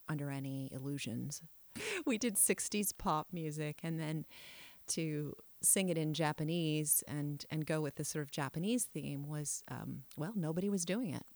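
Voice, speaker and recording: A middle-aged woman with a low voice looks back on her past in an interview. She is frank and reflective, often trailing off and restarting her sentences. The recording is close and dry. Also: a little mellow, no echo. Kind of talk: candid personal storytelling.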